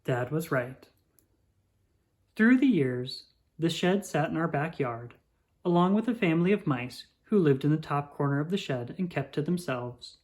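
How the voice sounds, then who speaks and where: A narrator reading a children's picture book aloud in an expressive, measured storytelling manner. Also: mid-range voice.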